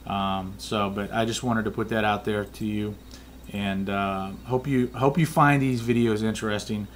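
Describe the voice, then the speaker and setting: A man talks through a photography tip in a low, flat monotone. He rambles and restarts his sentences often, filling gaps with ums and uhs. A faint background hum sits under his voice. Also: moderate pace. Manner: rambling, many fillers and restarts. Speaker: photography vlogger. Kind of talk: casual explanatory talk.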